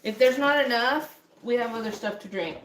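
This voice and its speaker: A woman's voice: on the high side, normal speed, fairly bright tone, a little hiss or hum, poor audio. Chatty West Texas woman in her forties. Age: 40s. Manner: chatty, affectionate, reads out viewer names between serving food. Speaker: livestream co-host. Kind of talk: casual kitchen chat on a livestream. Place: home kitchen.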